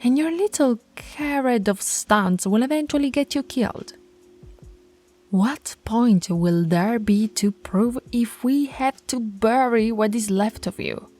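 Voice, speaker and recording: A woman voicing a dominant, stern alpha werewolf pack leader in an ASMR roleplay, scolding and taunting in a commanding, deliberate way. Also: fairly high pitch, dark tone, faint music behind. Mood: firm, admonishing.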